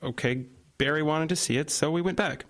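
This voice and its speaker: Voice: medium pitch, a little fast, fairly bright tone, natural voice. An evasive teenage brother, played by an actor, with a mid-range, offhand voice. He shrugs off questions in a casual drawl in this horror radio drama.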